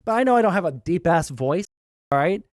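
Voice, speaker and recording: A young man with a high tenor voice, giving blunt, sarcastic reaction commentary and swearing freely. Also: animated, a little fast, dry recording, clean studio sound. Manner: blunt, sarcastic, swears often, many "all right?" tags. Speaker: commentary streamer.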